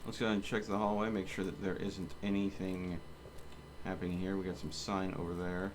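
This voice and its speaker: A man's voice: low voice, little pitch movement, moderate pace, noisy background. A man doing live gameplay commentary as a streamer, talking to himself in short running remarks. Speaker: game streamer. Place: indoors, streaming a game.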